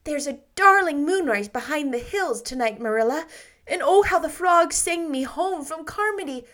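A woman reading aloud and voicing an effusive, dreamy young woman in a lilting, gushing, high voice. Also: animated, normal speed, a bit bright.

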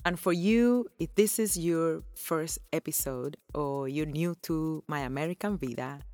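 Low-voiced woman podcast host. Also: unhurried, mellow, no echo. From Cuba. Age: 40s. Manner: effusive, earnest, laughs often. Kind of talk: personal podcast monologue.

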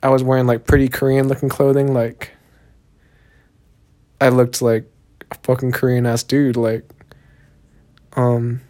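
A man's voice: medium pitch, little pitch movement, a little slow, long pauses, mellow. A young man with a Southern Texan accent hosting a solo podcast, rambling in a laid-back, profane way with plenty of fillers. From Texas, USA. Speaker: podcast host.

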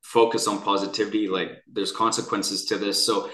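A man's voice: on the low side, flat delivery, moderate pace, a bit bright. A young adult man from Canada, telling his story as an interview guest in an earnest, rambling way with many restarts. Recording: echoey room.

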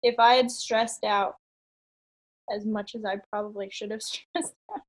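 A woman's voice: mid-range voice, fairly even intonation, a little slow. A woman in her late twenties, a podcast guest talking casually and upbeat, with frequent ums and little laughs. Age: 20s. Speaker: podcast guest, online entrepreneur. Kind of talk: casual interview chat.

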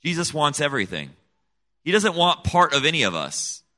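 A man's voice: fairly high pitch, big pitch swings, moderate pace, sharp tone. A middle-aged man raised in Senegal, giving an earnest, emphatic personal testimony as an interview guest. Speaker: guest, missionary kid.

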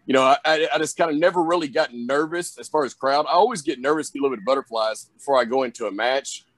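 A man's voice: mid-range voice, fast talker, a bit bright, no room sound. A young man with a Southern drawl, chatting in an interview about his wrestling career, rambling with lots of fillers. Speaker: wrestler guest. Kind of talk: casual interview chat.

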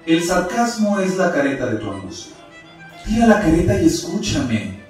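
A man's voice: high-pitched, big pitch swings, moderate pace, a little mellow. A man acting as God's angelic messenger in a radio play, solemn and pleading, with declamatory, preaching speech. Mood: earnest, reproving. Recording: very echoey, distant, music underneath.

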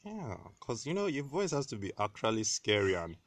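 A man co-hosting a casual podcast about manga and anime who talks excitably, teases his co-host and swears freely. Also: medium pitch, normal speed, no room sound. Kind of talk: casual chat.

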